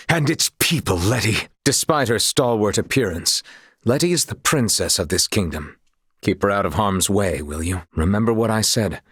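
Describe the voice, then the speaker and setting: An earnest young knight for a video game, loyal and honorable. His voice sits on the low side with a husky grain. He speaks in courtly, old-fashioned phrases, recorded very dry. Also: dry recording. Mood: grave, resolute, then earnest, concerned.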